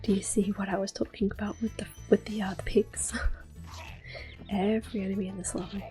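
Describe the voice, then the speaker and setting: A woman in soft, unhurried whispers, commenting on her gameplay as an ASMR gamer. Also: mid-range voice, little pitch movement, poor audio. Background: soft game music and controller clicks.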